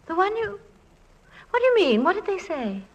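A woman's voice: very high voice, sing-song, normal speed, dull audio, heavily acted. A woman playing a devoted, playful, slightly naive wife, theatrical and affectionate in 1940s radio comedy-drama style. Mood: puzzled, consoling. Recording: faintly noisy 1940s radio recording.

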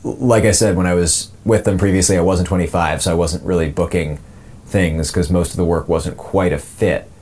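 Male, 20s, low voice. Conversational interviewee, loose and self-effacing delivery. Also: steady tone, moderate pace, darkish tone, husky. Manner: rambling, self-deprecating. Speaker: voice actor being interviewed.

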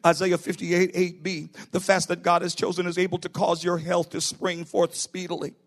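A man's voice: high-pitched, level tone, normal speed. A man preaching a sermon as a pastor, emphatic and declarative, appealing directly to his listeners. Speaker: pastor, bishop preaching to own church.

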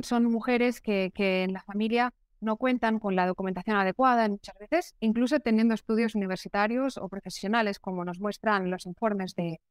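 Earnest woman, smooth clear voice. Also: medium pitch, no room sound. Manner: measured, earnest, explanatory. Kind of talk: interview answer.

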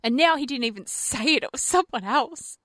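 A woman hosting a radio show, talking in an expressive, incredulous way. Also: high voice, brisk, no echo. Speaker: radio host. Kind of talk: casual radio banter.

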